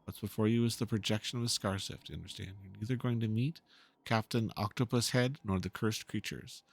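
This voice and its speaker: A man voicing a brooding, world-weary game character who speaks in a hushed, grave manner. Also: lowish voice, fairly quick, creaky, breathy edge, no room sound. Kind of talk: dramatic reading of game dialogue.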